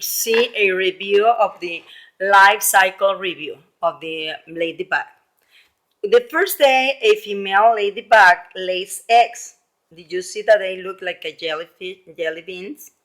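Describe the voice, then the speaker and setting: Female primary teacher, medium pitch, slow delivery. Children's read-aloud lesson, expressive and repetitive, addressing young listeners directly. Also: poor audio. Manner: animated storytelling with exclamations and frequent questions to listeners; simple explanations with frequent repetition.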